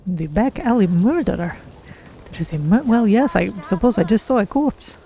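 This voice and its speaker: A woman's voice: medium pitch, lively intonation, moderate pace, warm tone, slight background noise, natural voice. A woman voicing a curious, naive cat-folk adventurer, musing aloud in careful, formal phrases as she plays a game. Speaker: streamer voicing own game character. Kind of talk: in-character game playthrough narration.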